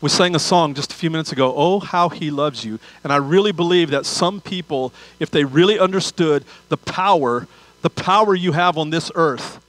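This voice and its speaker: A man's voice: on the high side, normal speed, a bit bright, dry recording, low-quality recording. Older man preaching conversationally. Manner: conversational preaching with repeated phrases and rhetorical questions. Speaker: pastor. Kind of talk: sermon. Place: large church auditorium stage.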